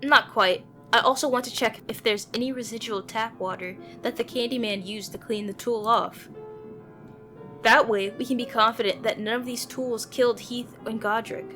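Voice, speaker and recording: A woman's voice: on the high side, steady tone, normal speed, fairly bright tone. A woman reading a visual novel aloud, moving between narration and character dialogue, with music playing behind her. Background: background music.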